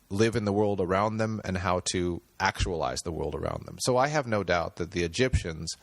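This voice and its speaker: A man's voice: sits low, dry recording. A man talking as a guest on a podcast, thinking aloud with many fillers and restarts. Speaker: podcast guest, screenwriter and director. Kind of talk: casual interview talk.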